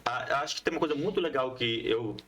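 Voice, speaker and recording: A man's voice: medium pitch, quick pace, a loud crowd. A man talking casually as an interview guest, explaining things with enthusiasm. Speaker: podcast guest, comics collective member and teacher.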